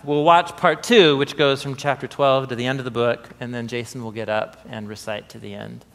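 A middle-aged man talks to an audience from a stage. He is informal and a bit halting, feeling his way through a casual introduction. His pitch is average for a man. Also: steady tone, creaky, fairly bright tone, in a large hall. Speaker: presenter. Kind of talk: informal live event introduction. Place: theatre stage, indoors.

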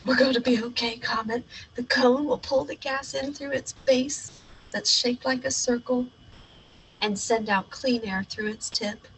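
A woman's voice: a little high, fairly even intonation, unhurried, a bit bright, slight background noise, voice pushed up, poor audio. Woman voicing a dramatic little boy space hero. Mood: reassuring. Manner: dramatic, exclamatory. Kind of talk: storybook read-aloud character.

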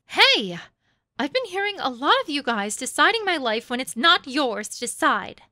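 Woman acting a female thief, high bright voice, sarcastic, defiant, animated, audio drama. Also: moderate pace, no echo, clean studio sound, full character voice. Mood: indignant. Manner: sarcastic, sharp-tongued.

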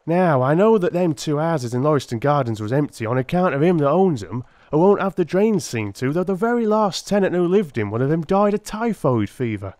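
A man's voice: on the high side, big pitch swings, a little fast, few pauses, a little mellow, no echo, pitched above the performer's own voice. A man voicing a working-class Victorian police constable in an audiobook drama, telling things in a rambling, colloquial way. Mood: earnest, rambling. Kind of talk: dramatised audiobook character acting.

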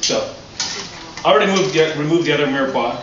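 Chef demonstrating on an echoey patio. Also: male voice, a little high, fast. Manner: relaxed, enthusiastic explaining with restarts. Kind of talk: cooking demonstration. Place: outdoor restaurant patio. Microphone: far camera. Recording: echoey, distant, dull, noisy outdoor audio.

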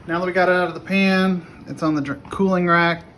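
A man's voice: high-pitched, steady tone, fairly quick. A middle-aged man casually walking through a recipe in an offhand, unpolished way, recorded on a phone in a home kitchen. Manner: unpolished, offhand step-by-step delivery. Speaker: home cook presenting a recipe. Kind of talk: casual cooking demonstration. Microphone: phone camera held nearby. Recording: clearly noisy home kitchen video.

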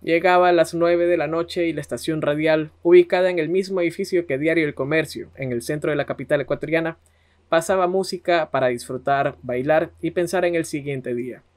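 Ecuadorian guy podcast host, kinda high voice, telling history stuff like he's chatting. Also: a little fast. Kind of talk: conversational history narration.

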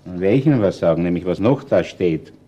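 An actor playing a calm, shrewd middle-aged police chief inspector with an Austrian accent, speaking in a measured, persuasive way in a radio play. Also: male voice, low-pitched. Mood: probing. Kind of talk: radio play acting, natural dialogue. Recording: dull band-limited old radio recording.

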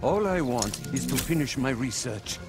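An actor playing a cold, obsessive, grieving scientist villain in a video game, speaking in a formal, stern, measured way. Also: male voice, medium pitch, animated, close to an everyday voice. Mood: grave, determined. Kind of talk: video game character dialogue. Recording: loud music behind.

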